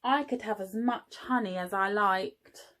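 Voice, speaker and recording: A woman's voice: mid-range voice, unhurried, fairly bright tone, lightly acted. A woman voicing an eager, naive male bear from a storybook who pretends to be a bee, earnest and a little hesitant. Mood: wistful.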